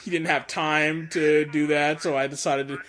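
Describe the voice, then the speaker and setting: Man, slightly high voice, casual audio commentary, rambling, stammering, excitable delivery. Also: normal speed. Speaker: director of a fan comic dub.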